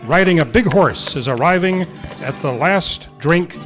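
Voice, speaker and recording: A man announces and narrates an amateur radio play in old-time style. His voice is fairly high for a man, with some music in the background. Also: lively intonation, measured pace, a bit bright. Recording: live club performance with music behind.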